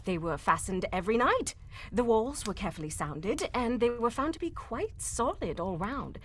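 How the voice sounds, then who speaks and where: A woman's voice: a little high, sing-song, normal speed. A woman performing an earnest, distressed young Englishwoman telling her tale in a theatrical live radio mystery. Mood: grave, narrating. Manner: theatrical, melodramatic. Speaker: voice actor reading cold. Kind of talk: live old-time radio mystery acting.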